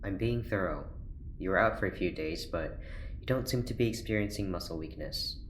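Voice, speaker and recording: An actor playing an earnest, worried scientist doctor in an audio drama, with a careful, clinical manner. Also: male voice, deep voice, fairly quick, close to an everyday voice. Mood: clinical, intent. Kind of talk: naturalistic audio drama acting. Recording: roomy, echoing, faint background noise.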